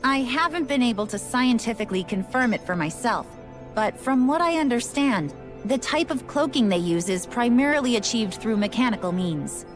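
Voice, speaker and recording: An actress playing a resigned, matter-of-fact station worker in a video game, explaining things in a casual, unbothered way. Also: female voice, fairly high pitch, animated, normal speed, over music, natural voice. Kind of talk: video game cutscene voice acting.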